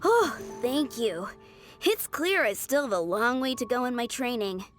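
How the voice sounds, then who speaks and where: An eager young knight in training, speaking with earnest enthusiasm and a flustered edge. Her voice sits a little high and swings widely in pitch. Game music plays behind her. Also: lively intonation, unhurried, a bit bright. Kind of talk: video game voice acting.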